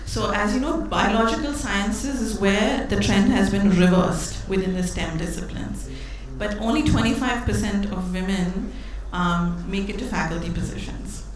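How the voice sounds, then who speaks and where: A woman giving an academic conference talk, measured and thoughtful, often restarting with fillers. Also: mid-range voice. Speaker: conference panelist. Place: large conference hall. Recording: roomy hall, speaker far from mic.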